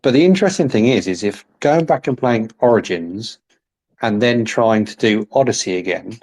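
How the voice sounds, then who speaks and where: A man's voice: on the low side, lively intonation, normal speed, a little mellow. A man hosting a casual interview, playful and teasing his guest. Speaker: interviewer host.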